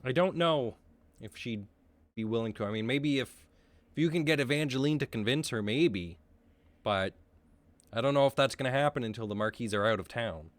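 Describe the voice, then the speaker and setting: A man's voice: mid-range voice, moderate pace, no echo, natural voice. A man voicing a foul-mouthed female smuggling boss in a tabletop game, pushy and brash. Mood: brash scheming. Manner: swears often, brash and pushy.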